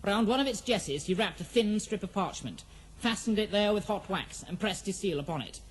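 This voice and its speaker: A man's voice: high voice, lively intonation, normal speed, a little hiss or hum. A man playing the storyteller of an epic fantasy audio drama, giving formal, dramatic scripted narration. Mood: dramatic, measured.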